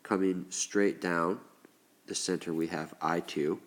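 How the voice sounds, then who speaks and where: A male teacher with a stuffed-up, congested voice, slowly explaining a lesson step by step as he writes. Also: deep voice, fairly even intonation. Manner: slow, deliberate explaining while writing. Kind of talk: recorded classroom lesson.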